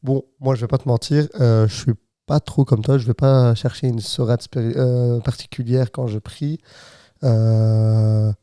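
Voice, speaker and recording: A man's voice: mid-range voice, fairly even intonation, a little slow, dark tone, no echo, studio quality. A man with a Flemish accent chatting as a podcast guest, hesitant, with many fillers and restarts. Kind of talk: casual podcast chat. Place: hot podcast studio.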